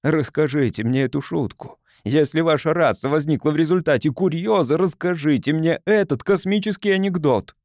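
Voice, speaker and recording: A man's voice: on the high side, normal speed, few pauses, a little mellow, dry recording, studio quality, close to an everyday voice. A man doing a desperate, pleading explorer. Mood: pleading insistent. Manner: pleading, insistent, trembling. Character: anxious, eager male explorer. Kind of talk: audiobook character voice.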